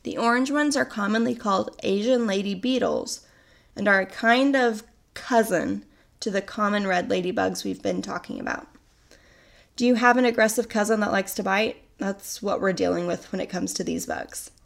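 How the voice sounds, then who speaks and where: Young woman podcaster with a gravelly voice. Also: mid-range voice, moderate pace. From North America. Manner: chatty and upbeat, explaining facts. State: recovering from a cold, sounds a little sickly. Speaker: podcast host. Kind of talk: casual solo podcast narration.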